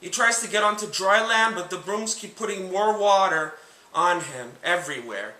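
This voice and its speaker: A man narrating a scripted book and film comparison as host, speaking in a deliberate, enunciated, presentational way. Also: high-pitched, sharp tone. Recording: echoey room sound.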